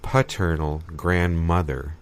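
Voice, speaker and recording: A man playing a touchy, wounded grown-up in an improvised comedy sketch, talking haltingly and deadpan. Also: low-pitched, smooth. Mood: wounded, hesitant. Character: touchy, wounded man recalling hug-starved childhood.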